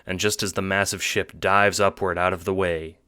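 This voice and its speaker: Low-pitched man narrating an audio drama. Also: little pitch movement, normal speed, pushed and bright, clear, resonant tone, no echo, clean studio sound. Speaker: narrator, writer and producer. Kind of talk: scripted audio book narration in second person.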